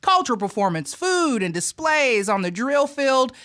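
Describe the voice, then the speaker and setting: A woman's voice: high voice, big pitch swings, moderate pace, a bit bright. A woman hosting a TV segment, playful and upbeat as she leads the chat. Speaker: TV show host. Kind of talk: casual interview chat.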